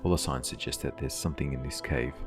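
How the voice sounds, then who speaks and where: A man's voice: very deep voice, fast talker. A man reading video game quest text aloud as in-character scripted narration. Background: faint music.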